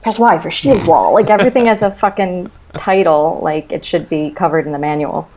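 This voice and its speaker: A woman's voice: lowish voice, brisk, a little mellow. A woman guesting on a podcast, chatting casually and comically in a wry way. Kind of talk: casual comedic chat. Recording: slight background noise.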